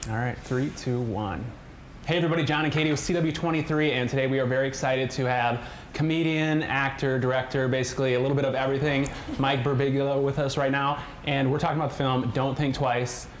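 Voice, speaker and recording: A man's voice: mid-range voice, fairly even intonation, fairly quick, a little hiss or hum. A man in his thirties hosting a TV interview, playful and bantering. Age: 30s. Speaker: TV host. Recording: roomy echo.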